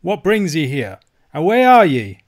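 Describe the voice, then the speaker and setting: A man's voice: on the high side, animated, normal speed, pitched above the performer's own voice, close to an everyday voice. A man voicing a suspicious, quavering old Scottish miser. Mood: wary, angry. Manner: grudging, wary, quavering.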